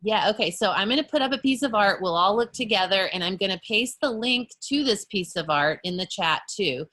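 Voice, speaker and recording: A woman art educator leading teachers through an online workshop on a video call, explaining patiently with frequent pauses and self-corrections. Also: mid-range voice, animated, fairly bright tone.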